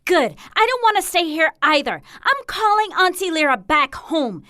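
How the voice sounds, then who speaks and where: A woman's voice: extremely high pitch, very animated, normal speed, sharp tone, dry recording, clean studio sound, full character voice. A woman playing a defiant, sarcastic, hurting teenage daughter in a dramatic stage piece, snappy in her delivery.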